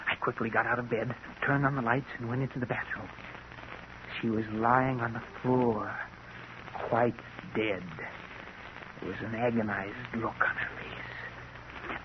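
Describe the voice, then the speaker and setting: Man playing tense, high-pitched scheming husband. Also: lightly acted. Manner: intense, brooding, dramatic. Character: henpecked, scheming middle-aged husband, quietly murderous. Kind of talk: vintage radio drama narration and dialogue. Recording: muffled, hissy vintage radio recording.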